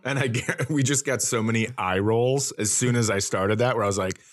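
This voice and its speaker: A man's voice: mid-range voice, a little fast. A man co-hosting a comedy podcast, bantering casually with wry riffs and mock-sincere asides.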